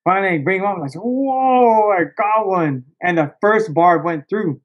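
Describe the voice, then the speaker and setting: Hyped up guy telling a story on a podcast, bro slang and cussing, kinda high voice, muffled lo-fi audio. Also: moderate pace. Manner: slangy, excitable, profanity-laced, peppered with "bro" and "dog". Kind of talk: casual storytelling.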